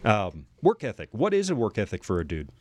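A man's voice: fairly low pitch, wildly swooping pitch, brisk. A man from Canada hosting a casual talk show, joking at his own expense. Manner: jokey, self-mocking. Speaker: podcast host.

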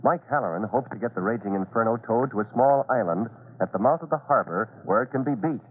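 A man's voice: mid-range voice, fast, slightly rough. A man giving dramatic scripted narration as the announcer of a 1940s radio serial. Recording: tinny vintage radio recording, light noise.

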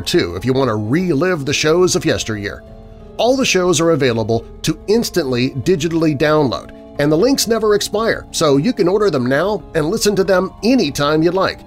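A man reads a scripted promotion as a podcast host. The voice sits a little high and moves in lively swings, with faint music underneath.

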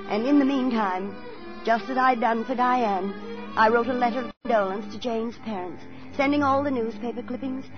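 A woman's voice: fairly high pitch, lightly acted. An actress playing a prim, fussy older landlady in a 1940s radio thriller, fluttery, proper and theatrical, with a chilling sweetness. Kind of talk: 1940s radio thriller acting, first-person narration. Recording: muffled 1940s radio broadcast, music behind.

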